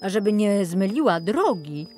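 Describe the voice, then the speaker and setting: A woman's voice: medium pitch, big pitch swings, moderate pace, dark tone, faint music behind, close to an everyday voice. A woman voicing a practical poor woodcutter, emphatic as he gives instructions. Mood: brisk, instructing.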